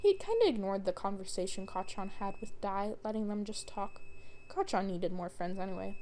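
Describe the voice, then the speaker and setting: A woman's voice: medium pitch, lively intonation, normal speed, mellow, slight background noise. A woman narrating a scripted story as a fan fiction reader.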